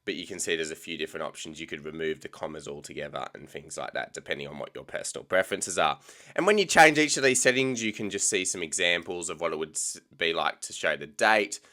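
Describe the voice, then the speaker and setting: A young Australian man with an Australian accent, casually talking through a software tutorial with frequent ums. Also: low voice, lively intonation, fast. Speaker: tech tutorial host. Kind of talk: screen-recorded software tutorial.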